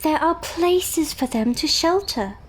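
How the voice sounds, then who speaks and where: An actress plays a genteel young Victorian lady in an audio drama. Her voice is high and unhurried, prim and careful, with an anxious temper. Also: animated. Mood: prim, concerned. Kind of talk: period audio drama acting.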